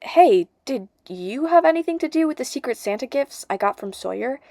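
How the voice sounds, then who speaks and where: A woman's voice: high-pitched, animated, moderate pace, a little mellow, no room sound, pitched above the performer's own voice. Lovestruck audiobook teen heroine, read by a woman: hesitant, flustered, full of questions, dry close recording. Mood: suspicious. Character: flustered, lovestruck teenage girl, unsure yet persistent. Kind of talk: audiobook character dialogue.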